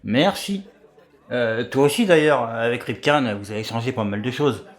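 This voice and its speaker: A man's voice: mid-range voice, moderate pace, natural voice. A man playing a nervous, fawning, lecherous gangster in an audio drama, hesitant and stammering. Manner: hesitant, stammering, repeats himself.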